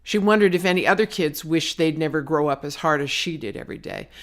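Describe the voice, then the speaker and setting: A woman's voice: low-pitched, fast. A woman narrating her own novel as an audiobook, in a dramatic storytelling manner with slangy, clipped phrasing. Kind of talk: scripted narration, audiobook reading.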